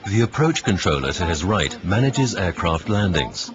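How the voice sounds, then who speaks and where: A man reading scripted instructional narration in a measured way. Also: low-pitched, big pitch swings, dull audio. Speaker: narrator of a corporate training film. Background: background music.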